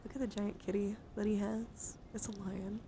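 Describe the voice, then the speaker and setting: A young woman livestreaming casual ASMR card chat, with a breathy voice that often slips into whispers and nerdy, coaxing, rambling asides. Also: medium pitch, little pitch movement, moderate pace. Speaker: livestreamer showing her card deck. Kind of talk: casual ASMR hand-cam chat with viewers. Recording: noticeable background noise.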